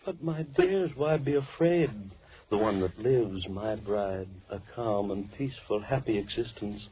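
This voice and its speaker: An actor playing a deranged, lonely killer who speaks with mock politeness and menace in old radio horror style. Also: male voice, on the low side, close to an everyday voice. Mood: musing, sinister. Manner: theatrical, mock-polite, slow musing monologue. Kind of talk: 1940s radio horror acting. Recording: muffled, tinny old radio recording.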